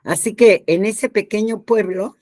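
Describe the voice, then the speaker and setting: A woman's voice: mid-range voice, unhurried, a little mellow, no room sound, studio quality. An older woman with a Mexican accent, hosting a conversational interview in an informal, rambling way full of asides and questions. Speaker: podcast host and interviewer.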